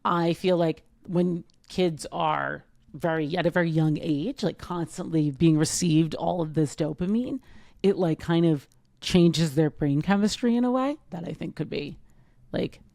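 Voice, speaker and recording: A woman's voice: sits low, unhurried, vocal fry, dark tone, no room sound, clean studio sound. A woman in her thirties co-hosting a podcast in casual chat, rambling and filling her talk with "like". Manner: rambling, heavy use of "like". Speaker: podcast co-host.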